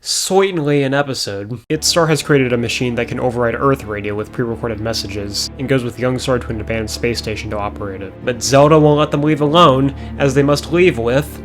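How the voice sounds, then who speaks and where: Hoarse guy reviewing an old show, sarcastic asides, faint music behind. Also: medium pitch, fairly quick, hardly any pauses. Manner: dry, wry asides. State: hoarse after a concert. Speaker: review host. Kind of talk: sarcastic episode recap.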